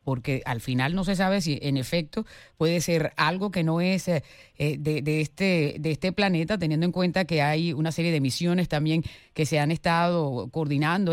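A man's voice: fairly high pitch, no room sound. A man interviewing on radio in a conversational way, feeling his way through questions with repeated words.

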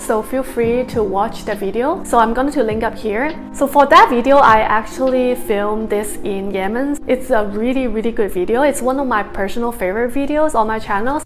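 Young woman vlogger, casual Q&A delivery, slightly high voice, soft music bed. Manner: chatty, plain-spoken, promotes her own videos. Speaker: travel vlogger. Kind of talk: casual Q&A vlog, reading out viewer questions.

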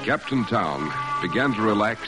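Guy doing old time radio announcer, solemn, low voice, big music behind, 40s style. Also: animated, normal speed, natural voice, rough recording. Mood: portentous. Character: solemn radio announcer telling a war story. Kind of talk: 1940s radio announcing, scripted narration. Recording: vintage radio recording with loud music.

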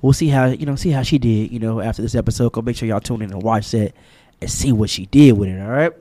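A man hosting a casual podcast interview in a studio, relaxed and slangy, reading a sponsor plug now and then. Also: mid-range voice, quick pace, no echo. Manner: laid-back, loose slang, reads sponsor spots. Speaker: podcast host. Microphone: studio mic.

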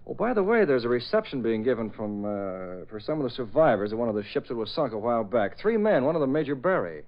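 Man playing a wry, animated newspaper boss. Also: medium pitch, fast, close to an everyday voice. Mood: wry, businesslike. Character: dry, wry newspaper boss handing out assignments. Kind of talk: old-time radio drama acting. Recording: dull old radio recording.

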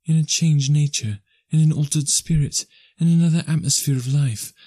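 A man reading aloud and voicing a gentle, sorrowful young woman who speaks softly and earnestly as she releases the man she was to marry. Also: a little high, moderate pace, no echo, voice pushed up, natural voice. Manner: soft, earnest, steady.

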